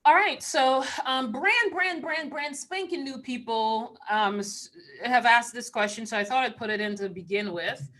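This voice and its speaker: A woman's voice: a little high, normal speed, fairly bright tone. A woman in her fifties from Trinidad and Tobago, chatting casually and playfully as she hosts an online live stream. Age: 50s. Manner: informal, playful, breaking into chuckles. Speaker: spiritual channeler hosting a live stream Q&A. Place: online live video call.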